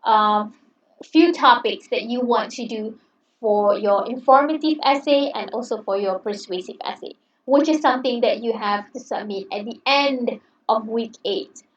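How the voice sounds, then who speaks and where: A woman's voice: a little high, moderate pace. A woman lecturer who speaks English as a second language, encouraging and chatty, often checking in with "okay". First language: not English. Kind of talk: online class introduction. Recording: roomy, distant, dull sound.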